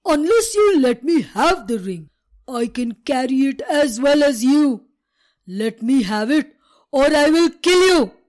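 A woman voicing a careless, greedy dog in a storybook tale, speaking loudly and demandingly. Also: high voice, lively intonation, drawn-out words, exaggerated voice.

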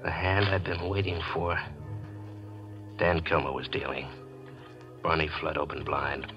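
A man's voice: rumbling low, little pitch movement, a little slow. An actor playing a bitter, jilted small-time gambler who tells his own story in hardboiled 1940s radio style. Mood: bitter, determined. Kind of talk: 1940s radio acting, first-person crime narration. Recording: dull vintage radio recording, faint music.

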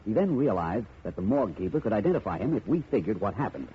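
A noisy, dull vintage radio recording of a shrewd American detective. He speaks fairly low with lively inflection, wry and sure of himself in classic mystery-drama style. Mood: explaining, satisfied. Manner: measured, wry, self-assured. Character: shrewd, unflappable male private detective.

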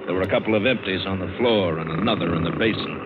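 An actor playing a world-weary, hard-boiled ship's mate in an old radio adventure drama, wry, terse and sardonic. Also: male voice, sits low, fast, very noisy, close to an everyday voice. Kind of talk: 1940s-50s radio drama narration. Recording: muffled old radio recording.

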